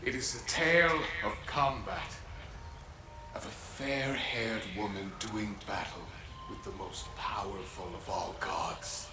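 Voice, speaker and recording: An actor playing an excitable, forgetful ghost storyteller in a video game, theatrical and halting, with an echo over background noise. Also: male voice, fairly low pitch, over music. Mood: eager, dramatic. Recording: echoey, noisy ambient game scene.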